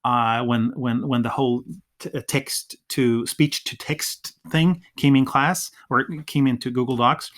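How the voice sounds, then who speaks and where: A Swedish-accented man, a teacher whose first language is Swedish, talks in a loose, stop-start way, circling back and restarting phrases. His voice sits at an ordinary mid pitch. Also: steady tone, unhurried, fairly bright tone. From Sweden. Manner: restarts a lot, acts out classroom dialogue. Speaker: ESL teacher, podcast guest. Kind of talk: casual interview conversation.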